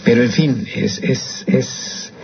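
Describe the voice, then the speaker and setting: An older Mexican man talks in a loose, opinionated way over a slightly muffled radio line. His voice sits at an ordinary pitch. He often restarts his phrases, and a faint hiss runs underneath. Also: slow pace, gravelly. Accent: Mexican Spanish. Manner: chatty, opinionated, with frequent restarts. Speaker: radio host and storyteller. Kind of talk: casual radio commentary.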